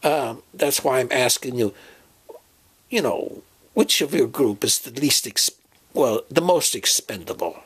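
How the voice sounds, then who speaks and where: A man playing a fussy professor and inventor who speaks in a halting, hedging way, in an amateur audio drama. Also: mid-range voice, animated, husky. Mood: earnest, evasive.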